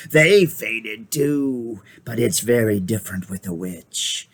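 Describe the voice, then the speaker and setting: A man's voice: on the low side, expressive, slow, fairly bright tone, breathy edge, exaggerated voice. A man voicing a bitter male dwarf who speaks coldly and snarls. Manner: cold, snarling.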